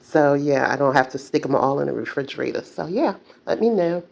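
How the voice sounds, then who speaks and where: A woman's voice: low-pitched, animated, fairly quick, vocal fry, poor audio. An older woman vlogging casually at home, chatty, with many fillers and self-corrections. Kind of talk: casual chat to camera.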